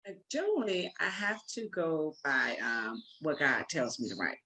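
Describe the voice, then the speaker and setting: A woman's voice: low-pitched, big pitch swings, moderate pace, sharp tone. A woman giving conversational interview answers as a guest, speaking emphatically, repeating phrases and acting out her own thoughts. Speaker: guest, playwright.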